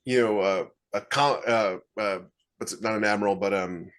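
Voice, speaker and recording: A man's voice: on the low side, normal speed, a bit bright. A man co-hosting a podcast, chatting casually and acting out little exchanges as examples. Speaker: podcast co-host. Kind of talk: casual panel discussion. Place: indoors, on a video call.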